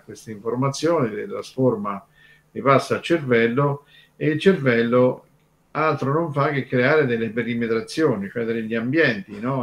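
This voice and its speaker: A man from Terni explains things in an informal radio discussion, halting and discursive, stressing key words. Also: mid-range voice. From Terni, Italy.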